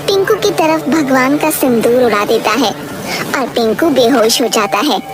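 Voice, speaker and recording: Storybook narrator, woman, steady, high voice, music bed. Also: measured pace.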